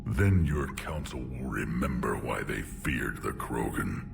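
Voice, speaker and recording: Gravelly, very deep alien warlord. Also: male voice, expressive, over music, heavily acted. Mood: vengeful, commanding. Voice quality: gravelly, rumbling. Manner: blunt, domineering, deliberate. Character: huge gruff alien warlord clan leader, proud and menacing. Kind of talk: video game cutscene acting.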